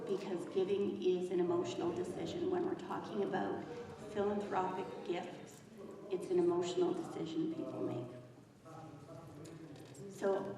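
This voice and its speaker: A woman fundraising consultant giving an earnest conference presentation, steadily repeating her key points. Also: fairly low pitch. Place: conference hall. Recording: echoey hall from far off, audience noise.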